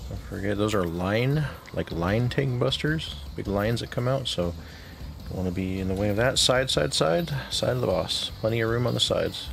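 A man leading a game raid on stream, calmly calling out mechanics and giving instructions. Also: lowish voice, normal speed, vocal fry, slight background noise. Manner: calm, instructive callouts with short laughs.

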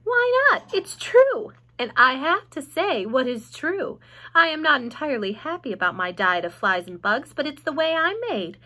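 A clever, bold female spider, voiced by a woman reading aloud, talking in a matter-of-fact way with sudden leaps into high exclamations. The voice is bright and crisp, sitting a little high, and it drops now and then to hushed whispers. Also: sing-song, moderate pace, heavily acted. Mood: explaining.

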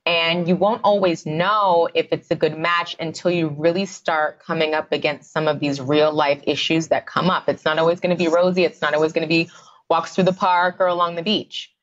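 A woman's voice: sits low, steady tone, moderate pace, muffled recording. A woman, a psychotherapist guest on a podcast, explaining her views in a conversational way with many fillers. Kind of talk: podcast interview.

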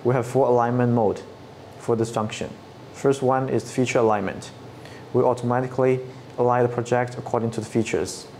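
Flat-toned man explaining software steps. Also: medium pitch, a little fast, slight background noise. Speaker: product trainer. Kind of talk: scripted tutorial narration. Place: studio set with dark backdrop. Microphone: lapel mic.

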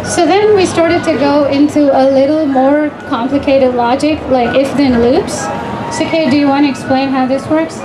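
A woman's voice: high voice, normal speed, over music, low-quality recording. A middle-aged woman giving an encouraging, explanatory live presentation on a handheld microphone through a PA in an outdoor tent. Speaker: presenter, mother co-presenting with her child. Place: open-sided tent at an outdoor fair. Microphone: handheld microphone through PA, filmed from audience. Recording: outdoor PA speaker, clear background noise. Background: outdoor fair ambience.

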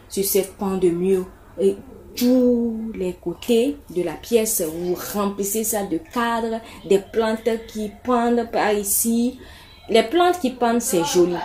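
A woman talks viewers through decorating tips in a loose, chatty way, repeating words and filling gaps with "euh". Her voice is soft and mellow. A faint hiss sits under the recording. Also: mid-range voice. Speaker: home decor YouTuber. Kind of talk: casual advice talk to viewers.

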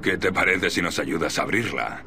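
A gruff soldier in command, speaking European Spanish in a very low voice. He is terse and deadpan, like a stoic squad leader in a war game, with loud machinery noise around him. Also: normal speed. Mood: dry, unmoved.

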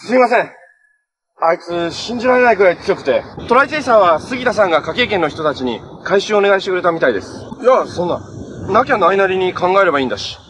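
An actor playing a cheerful, earnest young superhero in a live-action TV drama, polite and easygoing. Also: male voice, high voice, very animated, fast talker, noisy background. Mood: serious, determined. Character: cheerful, earnest young male hero who fights monsters.

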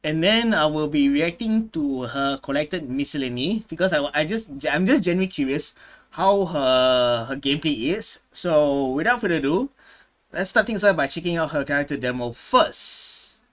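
Casual young man, slightly high voice. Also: animated, moderate pace, a bit bright. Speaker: reaction video host. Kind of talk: casual reaction commentary.

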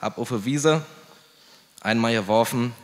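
A man in his twenties telling a comic story in clipped, deadpan phrases. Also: medium pitch, measured pace, background noise. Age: 20s. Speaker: slam poet. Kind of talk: comic stand-up style storytelling. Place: live stage in an indoor event hall. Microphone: mic on stand. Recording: reverberant hall.

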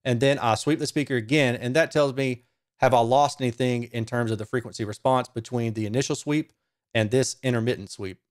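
A man's voice: medium pitch, steady tone, a little fast, dry recording. Man explaining tech, dry close sound. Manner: conversational, explains step by step. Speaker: host, speaker reviewer. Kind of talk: casual technical explanation to camera. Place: small home studio room, indoors. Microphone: close studio microphone.

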